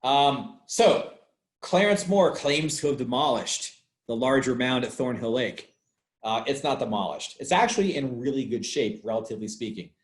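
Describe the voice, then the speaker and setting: A man's voice: mid-range voice, lively intonation, normal speed. A man speaking as an archaeologist, giving an informal explanatory talk in a conversational way, repeating points for emphasis. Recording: roomy, echoey sound.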